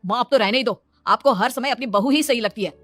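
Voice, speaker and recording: A woman's voice: on the high side, fast, a bit bright, soft background music, voice pushed up. Woman playing an irritable, exasperated young husband. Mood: irritated. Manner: exasperated, sarcastic. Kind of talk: dramatized cartoon moral story.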